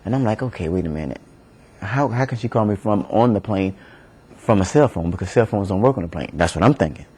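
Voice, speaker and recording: A middle-aged man gives a personal account in an interview. His voice sits fairly low and is very mellow and relaxed in tone. He speaks haltingly, repeating words as he remembers. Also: husky. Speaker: bereaved husband giving an interview. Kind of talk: personal recollection in a media interview.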